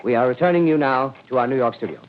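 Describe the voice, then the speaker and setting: Eager on-scene radio reporter played by a man, fast and wordy, thin phone-like old broadcast sound. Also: medium pitch, lightly acted. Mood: earnest. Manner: fast, earnest, descriptive, slight stammer. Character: eager male radio commentator on location. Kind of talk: 1930s radio drama acting.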